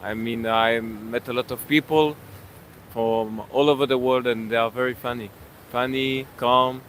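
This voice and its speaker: A man's voice: medium pitch, steady tone, moderate pace, a bit bright, a little hiss or hum. A man from Belgium on vacation, answering questions on the street in simple, repetitive phrases. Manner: simple phrasing, repeats words. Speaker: tourist on vacation. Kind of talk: casual street interview.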